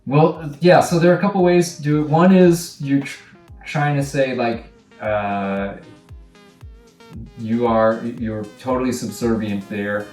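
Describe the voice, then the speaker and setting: A man giving informal director's notes to actors, halting and thinking aloud as he goes, sometimes acting out sample lines. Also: mid-range voice, fairly even intonation, darkish tone. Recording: roomy, distant mic, faint music.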